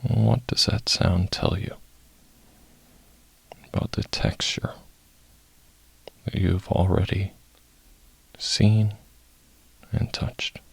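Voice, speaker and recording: Low, slow, gravelly man guiding meditation. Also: fairly even intonation, no echo, whispered. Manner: slow, soft-spoken, long pauses between short phrases. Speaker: guided meditation host. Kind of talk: guided meditation.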